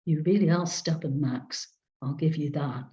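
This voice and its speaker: A woman's voice: deep voice, measured pace, dark tone. A woman storyteller voicing a confident, teasing woman psychic with dry wit, heard over a video call. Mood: teasing, knowing. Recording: dull lo-fi video call audio.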